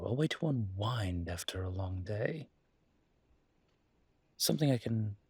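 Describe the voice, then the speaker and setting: A slow-talking, husky mafia boss acted out by a man in an intimate roleplay audio drama. He is charming and polite, teasing his listener with playful, flirty pauses. The sound is dry and close. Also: fairly low pitch, darkish tone, dry recording. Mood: playful flirting.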